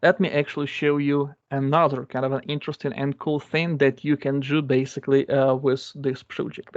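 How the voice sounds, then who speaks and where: A man's voice: mid-range voice, darkish tone, no room sound. A man walking through a database demo in English, which is not his first language, talking in a loose, explanatory way with lots of fillers over a computer microphone. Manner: explanatory, repeats phrases, many fillers. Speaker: guest speaker. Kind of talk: live coding demo. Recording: dull lo-fi dry sound.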